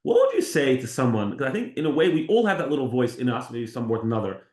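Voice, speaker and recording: A man's voice: medium pitch, huge pitch swings, fast, darkish tone. A man co-hosting a conversational religious discussion, speaking informally and posing questions. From Australia. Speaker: co-host of a religious discussion show. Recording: echoey room.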